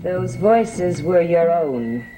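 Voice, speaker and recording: An actress playing a stern, knowing woman in a gothic soap opera, speaking solemnly and deliberately, like someone giving hypnotic commands. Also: fairly low pitch, lively intonation, noisy background. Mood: stern, accusing.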